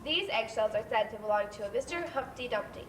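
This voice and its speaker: A woman's voice: fairly high pitch, lively intonation, quick pace, a bit bright. A woman playing a serious breaking-news reporter in a stage play. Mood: urgent, formal. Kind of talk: stage play newscast delivery. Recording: roomy, distant stage recording with faint noise.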